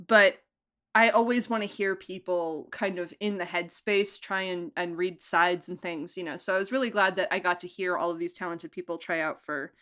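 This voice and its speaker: A woman's voice: fairly low pitch, steady tone, moderate pace, fairly bright tone, clean tone. A young woman who produces and directs, chatting in a podcast interview, laughing often and talking with lots of fillers and restarts.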